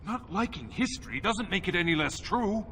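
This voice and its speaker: An actor playing a principled, stubborn but humble historian in a fantasy game, speaking formally and earnestly. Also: male voice, high voice, sing-song, normal speed, pushed and bright, a little hiss or hum, full character voice. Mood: earnest, defiant. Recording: music behind the voice.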